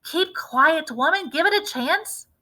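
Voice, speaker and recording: Lady doing a pushy excited farmer dad, loud and bright. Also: very high-pitched, a little slow, pitched above the performer's own voice, full character voice. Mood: eager bossy. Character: eager, excitable farmer father.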